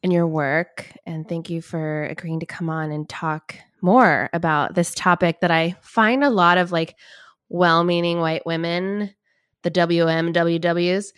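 A woman hosting a podcast, asking her guest gentle questions and often saying "like". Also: lowish voice, steady tone, measured pace, clear, resonant tone, dry recording, clean studio sound. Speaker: podcast host. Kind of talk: podcast interview.

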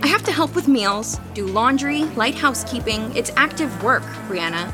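An exasperated young student, voiced by a woman speaks frankly in a high, lively voice. Music plays clearly underneath her. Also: normal speed, lightly acted. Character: young female student and home companion.

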